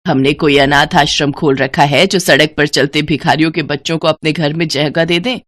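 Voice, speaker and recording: A woman's voice: sits low, steady tone, moderate pace, fairly bright tone. A woman voicing a cruel, scornful older mother-in-law, harsh and taunting, in a family morality story. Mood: angry scolding.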